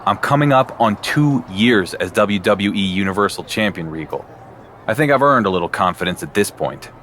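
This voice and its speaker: A man's voice: lowish voice, expressive, moderate pace, fairly bright tone, slight background noise. An actor playing a confident young male wrestling champion in a video game, with a defiant edge. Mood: cocky. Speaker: player character.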